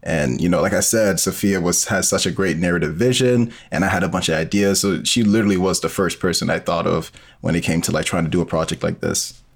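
A man's voice: deep voice, steady tone, fairly quick, creaky. A young man talking casually as a guest in an interview, with plenty of conversational filler. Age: young adult. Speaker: guest, podcast co-creator.

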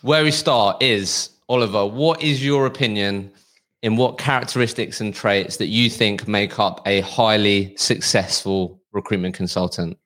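A man around thirty hosting a podcast, pitching a community and then interviewing a guest with deliberate, upbeat delivery. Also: steady tone, fairly bright tone. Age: about 30. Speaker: podcast host.

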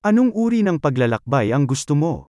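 Man, fairly high and very lively voice, scripted phrase reading for a language course, dry recording. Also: fairly quick, dry recording. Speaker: Filipino phrase reader.

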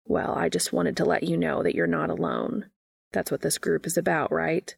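Woman doing a calm flirty guy in a fanfic, totally unashamed, low voice w/ some fry. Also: normal speed, dry recording, studio quality, exaggerated voice. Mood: reassuring, flirtatious. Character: forward, unashamed man, calm and teasingly flirtatious. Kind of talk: fan fiction character dialogue.

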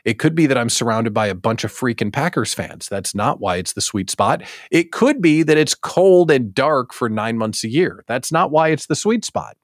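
A man's voice: mid-range voice, lively intonation, normal speed, hardly any pauses, dry recording, studio quality. An American man hosting a casual live show, chatting playfully to his audience and repeating words for emphatic comic effect. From United States. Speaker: host of a daily live show for small business owners.

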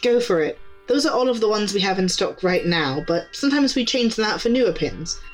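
A woman's voice: mid-range voice, animated, fairly quick, soft background music, natural voice, poor audio. A woman playing an easygoing, helpful young clerk of a magic shop in an audio drama, talking casually. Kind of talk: naturalistic audio drama dialogue.

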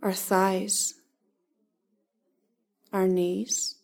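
A woman leading a guided body-scan meditation, giving calm, gentle instructions in short, spaced phrases. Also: mid-range voice, drawn-out words.

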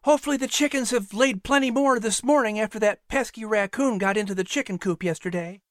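A woman voicing a busy colonial mother in an audiobook, firm yet gentle and cheery. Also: a little high, brisk, no room sound, clean studio sound, voice pushed up, natural voice. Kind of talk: audiobook character voice.

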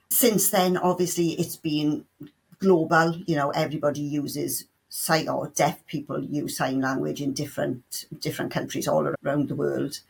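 A woman's voice: low-pitched, vocal fry, husky. A middle-aged woman with a South Welsh accent, a sign language tutor answering interview questions in a chatty way, full of restarts and playful asides. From South Wales.

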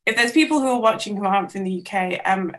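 A young woman talks about her work as an interview guest. She speaks thoughtfully and hesitantly, pausing on fillers as she gathers her words. Her voice sits at a middle pitch. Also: fairly bright tone, slight background noise. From Wales. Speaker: artist guest. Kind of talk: casual interview answers.

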